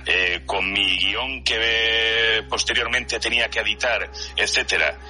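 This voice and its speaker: A man's voice: on the low side, a little slow, pushed and bright, faint music behind. A man in his forties with a Spanish accent from Spain, talking reflectively and explanatorily as an interview guest. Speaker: interview guest, horror story channel narrator.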